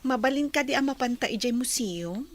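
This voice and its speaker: Friendly young intern girl in a radio play, high voice, chatty dialogue. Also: lively intonation, brisk. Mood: cheerful, friendly. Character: friendly young female nursing intern on an outing. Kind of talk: radio drama acting.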